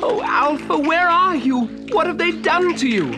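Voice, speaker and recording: A brave young girl in a storybook space adventure, acted out by a male narrator. The voice is very high and swoops about, breathless and urgent with worry, with music behind it. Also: animated, normal speed. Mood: anxious.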